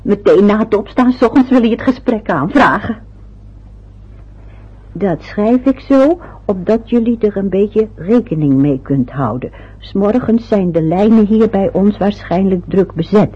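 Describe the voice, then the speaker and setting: A woman's voice: medium pitch, expressive, measured pace, breathy edge. An actress playing a fussy, anxious older mother in a radio play, chattering and muttering to herself. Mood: fretful. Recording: dull lo-fi old radio recording.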